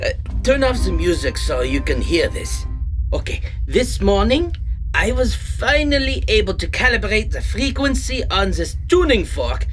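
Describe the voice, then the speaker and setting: A man's voice: high-pitched, big pitch swings, a little slow, a bit bright, soft background music, heavily acted. Man acting eccentric, swooping, kindly old starship captain. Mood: eager. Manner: flustered, hesitant, courtly. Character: eccentric, kindly, wonder-struck older male starship captain and inventor. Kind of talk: scripted sci-fi comedy audio drama.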